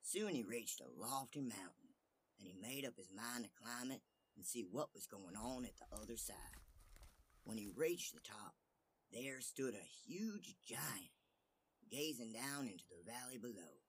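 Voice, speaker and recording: A man narrating a fairy tale as an expressive storyteller. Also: medium pitch, a little slow, slightly rough.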